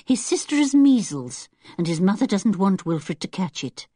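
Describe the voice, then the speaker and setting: Older British lady for a children's storybook, played by a woman: genteel, kindly, a fretful chatterer with lively intonation. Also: medium pitch, moderate pace, breathy edge, no echo, studio quality, voice pushed up. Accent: British English. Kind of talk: children's audiobook character acting.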